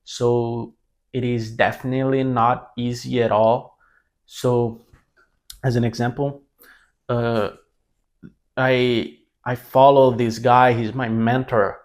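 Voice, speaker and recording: A young man with a Brazilian Portuguese accent speaks to the camera in easy, unscripted talk. His English is not his first language, so he pauses and restarts often. His voice sits at an ordinary middle pitch. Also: flat delivery. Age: 20s. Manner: halting, many restarts and self-corrections. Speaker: language mentor.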